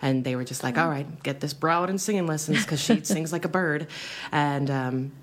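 A young woman with a notably low speaking voice chats as a guest on a radio show. She tells her stories animatedly, laughing at herself along the way. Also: moderate pace. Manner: animated storytelling with frequent laughs. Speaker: musician guest. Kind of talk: casual radio interview.

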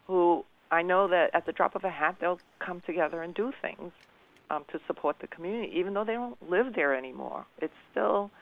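An older woman from Boston giving conversational, anecdotal answers as an interview guest. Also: low voice. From Boston, United States. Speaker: interview guest, local historical society member. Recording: thin, phone-like line.

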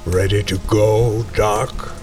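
An actor playing a slow, menacing brute in a radio drama, talking low and deliberately. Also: male voice, steady tone. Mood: gruff. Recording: distant voice over music, rain.

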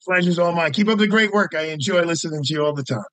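A man's voice: a little high, fast, fairly bright tone, no room sound. A man appearing as a lawyer guest in a radio interview, talking through his ideas aloud in casual chat.

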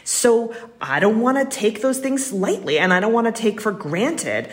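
A woman's voice: fairly high pitch, big pitch swings, normal speed. A woman interview guest talking conversationally and earnestly, thinking aloud as she answers.

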